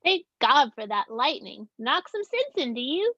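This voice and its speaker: Female, high and animated on a dry lo-fi mic; actress as a feisty, blunt grandmother in gothic comedy. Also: unhurried, dry recording, exaggerated voice. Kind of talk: amateur table read of a film comedy. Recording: dull, dry, lo-fi microphone.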